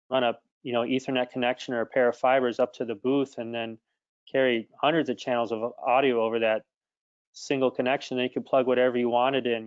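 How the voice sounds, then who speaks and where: A man with a smooth, clear voice explains audio gear as a guest expert. His intonation stays flat and even. The call audio is a little dull. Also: mid-range voice, no echo. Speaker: guest product expert from an audio equipment maker. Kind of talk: explanatory tech talk. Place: online video call.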